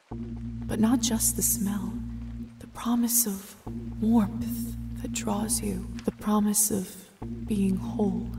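Young fledgling vampire gentlewoman for a game. Earnest, unsure, medium pitch, clear music bed. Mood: entranced longing. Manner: hesitant, questioning, earnest. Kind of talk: video game voice acting.

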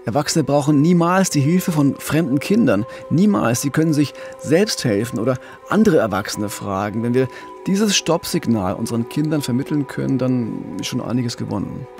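A man's voice: medium pitch, animated, normal speed, creaky, darkish tone. Earnest man co-hosting, faint music behind. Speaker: true-crime podcast co-host. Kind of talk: earnest explanatory podcast talk.

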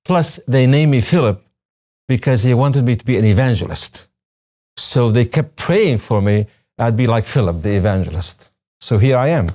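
A man over sixty with a soft-edged, mellow voice and a Syrian Arabic accent. A native Arabic speaker, he shares personal recollections as a guest in a studio interview. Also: medium pitch, animated, moderate pace. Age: 60s or older. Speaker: interview guest, retired religion professor. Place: television studio, seated at a desk. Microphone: lapel mic.

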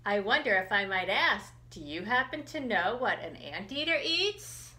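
A woman's voice: medium pitch, very animated, moderate pace, pushed and bright. A woman voicing a polite, earnest, hungry anteater in a picture book read aloud, courteous and questioning. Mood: polite, curious.

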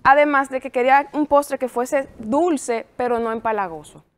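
A woman's voice: high-pitched, normal speed. A woman in her thirties, a guest on a TV studio show, answering questions cheerfully and laughing often. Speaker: guest, pastry business owner. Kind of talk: interview answers.

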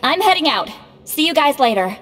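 A woman's voice: sits high, sing-song, fairly quick, fairly bright tone, faint music behind. A proud young shaman, reverberant. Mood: bossy. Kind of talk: scripted video game dialogue.

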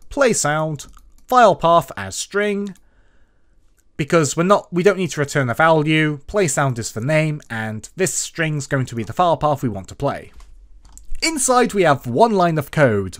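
A man narrates a screen-recorded tutorial in a somewhat high voice. His pitch moves up and down a great deal as he explains each step in an instructional way. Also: fairly bright tone. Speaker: tutorial presenter.

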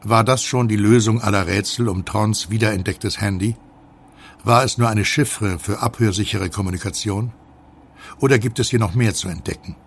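Mysterious thriller narrator, low gravelly voice, suspenseful, bit of noise behind. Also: male voice. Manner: measured, suspenseful. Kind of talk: scripted narration.

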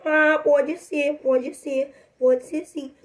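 A woman's voice: high voice, level tone, drawn-out words. A girl voicing a talkative doll with a cold. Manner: talkative, addresses viewers. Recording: noisy home recording.

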